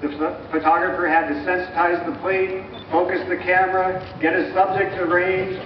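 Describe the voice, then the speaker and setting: High-voiced man narrating from far off, echoey. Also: flat delivery, moderate pace. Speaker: narrator of a live historical dramatization. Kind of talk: scripted narration, explanatory presentation. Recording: muffled, echoey distant recording with clear noise.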